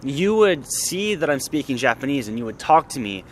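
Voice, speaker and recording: A Canadian man hosting a casual chat, relaxed and talkative with lots of fillers. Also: mid-range voice, normal speed, slight background noise, low-quality recording. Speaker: host. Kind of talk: casual conversation.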